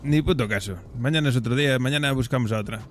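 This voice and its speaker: Teasing Spaniard streamer, crude jokes. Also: male voice, mid-range voice, fast talker, soft background music. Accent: Spain Spanish. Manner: crude, teasing, joking banter. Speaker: streamer, roleplay player. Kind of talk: roleplay game chat.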